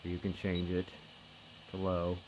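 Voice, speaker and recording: A man giving a casual hands-on product demonstration, explaining things in a halting, unscripted way. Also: sits low, completely flat delivery, a little mellow, poor audio. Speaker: host of a hobby radio review channel. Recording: noticeable background noise.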